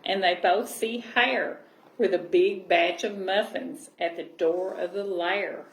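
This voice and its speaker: A woman reading a children's picture book aloud and pausing to explain with tag questions. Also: on the low side, steady tone. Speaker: library storyteller. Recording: roomy echo, clear background noise.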